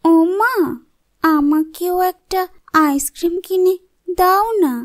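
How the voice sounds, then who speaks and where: A woman's voice: extremely high pitch, slow pace. A woman voicing a plaintive young girl in a fairy-tale cartoon, pleading in a whiny way. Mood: wishful, coaxing.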